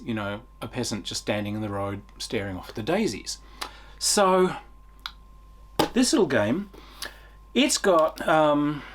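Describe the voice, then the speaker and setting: A middle-aged man talks through a game review off the cuff, with plenty of enthusiasm. His voice is husky and moves up and down a great deal as he stresses his points. The delivery is casual and emphatic. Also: medium pitch. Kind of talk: casual unscripted video review.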